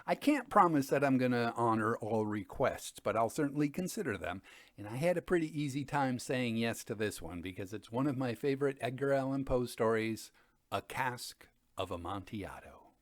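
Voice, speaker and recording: A man giving a dramatic reading of gothic fiction, with theatrical, deliberate phrasing. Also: medium pitch, animated, no room sound. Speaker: storyteller reading stories by request.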